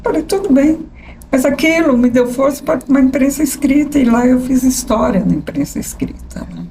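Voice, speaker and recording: A woman in her sixties with a São Paulo accent, a journalist telling her life story as a podcast guest, animated and often asking "né?". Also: high-pitched, moderate pace, slight background noise, rough recording. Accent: São Paulo capital Portuguese. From São Paulo state. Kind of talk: conversational interview storytelling.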